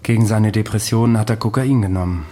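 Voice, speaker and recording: A man's voice: on the low side, fast talker, dark tone, slight background noise, lightly acted. An actor in a German radio drama playing an ageless, worldly-wise man who recounts his past in a dry, matter-of-fact way. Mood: dry, weary recollection.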